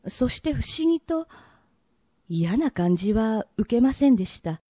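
A woman narrator with a very bright, forward voice in a dry recording. She tells a period radio drama formally, in measured, old-fashioned polite phrasing. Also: on the high side, very animated, no room sound.

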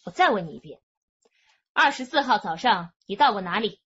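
A woman's voice: medium pitch, lively intonation, fairly quick, a bit bright, husky, highs cut off. An actress playing a stern, impatient young policewoman scolding in a radio drama interrogation style. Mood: stern impatience.

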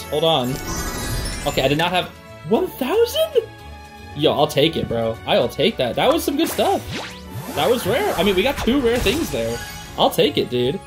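Young adult gaming host, mid-pitched and very animated, slangy and gushing, music underneath. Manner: gushing, slangy, exclaims and gasps. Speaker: host of a gaming reaction channel. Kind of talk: casual excited gameplay and reaction commentary. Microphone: home recording on a makeshift travel setup.

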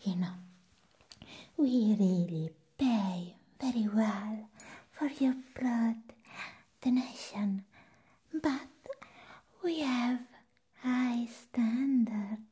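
A young woman playing an eccentric, flustered vampire nurse in a whispered ASMR roleplay, slow and halting, repeating her words. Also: a little high, dark tone, full character voice. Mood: eccentric, coy. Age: young adult. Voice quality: breathy, whispery. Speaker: ASMR performer. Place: small indoor room set as a clinic. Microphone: close microphone.

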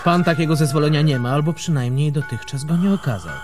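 An actor playing a haughty, bossy young son of a castle steward in a radio play, formal and challenging. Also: male voice, a little high, fast, music in the background, close to an everyday voice. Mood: haughty, officious. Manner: formal, challenging, self-important. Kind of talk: radio drama acting.

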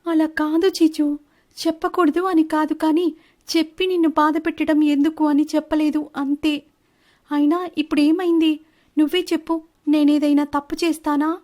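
A woman's voice: sits high, level tone, moderate pace, darkish tone, close to an everyday voice. A woman voicing a deceitful female bird wife in a cartoon story, defensive and protesting. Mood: feigned innocence. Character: Chinnu, deceitful married female bird, indignant and evasive. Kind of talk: animated Telugu moral-story dialogue.

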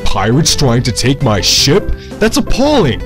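A man's voice: mid-range voice, huge pitch swings, unhurried, over music. A man voicing a pompous, theatrically appalled official in an amateur comedy dub of a video game. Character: pompous male official arresting pirates.

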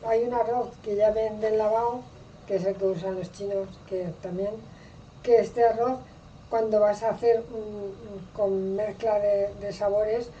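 A woman's voice: mid-range voice, fairly even intonation, measured pace, warm tone, far from the mic, lo-fi sound, a little hiss or hum. A woman with an accent from Spain teaching a recipe in a home kitchen, patient and homely, using lots of diminutives. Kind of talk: casual cooking instruction.

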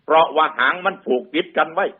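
A man's voice: fairly high pitch, fast talker, breathy edge, narrow, tinny audio. A man with an Isan accent telling a moral folk tale to lay listeners in a colloquial, repetitive oral style. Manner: colloquial, repeats phrases for emphasis. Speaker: Buddhist storyteller addressing lay listeners.

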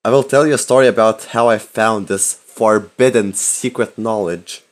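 A man trolling strangers in game voice chat, deadpan and mock-indignant, talking into a headset mic. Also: lowish voice, normal speed. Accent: put-on Russian. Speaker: prank-style player in voice chat.

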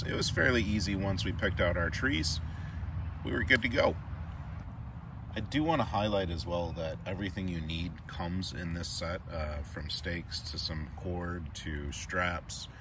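A man in his thirties talks through camping gear in a loose, chatty way, with plenty of fillers. His voice sits very low. He is picked up from some distance on a camera mic in the woods, with faint outdoor noise behind him. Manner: relaxed, filler-heavy, chatty. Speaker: channel host reviewing gear. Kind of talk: casual product review.

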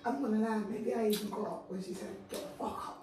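A young woman telling gossip in slangy, animated chat. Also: fairly high pitch, quick pace, distant mic. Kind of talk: casual gossip. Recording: very echoey room, off mic, chatter behind.

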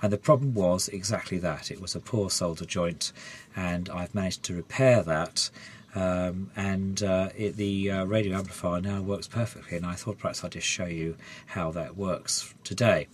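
Croaky man with a head cold. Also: deep voice, fairly even intonation, moderate pace. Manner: rambling, many fillers and restarts. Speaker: electronics hobbyist vlogger. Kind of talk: casual repair vlog. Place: home garage workshop, indoors.

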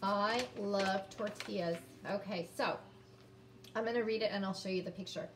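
A woman in her fifties teaching young children, sounding out words and reading aloud in a deliberate, encouraging way. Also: medium pitch, steady tone. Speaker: early-grades teacher. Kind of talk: children's phonics lesson and read-aloud. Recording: roomy, distant mic, background noise.

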